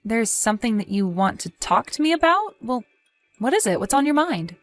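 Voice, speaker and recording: A woman's voice: on the high side, sing-song, moderate pace, soft background music. A woman acting an evasive wife in a dramatized story, sweet but easily flustered and indignant, with theatrical expression. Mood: friendly. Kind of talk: dramatized text-message story narration.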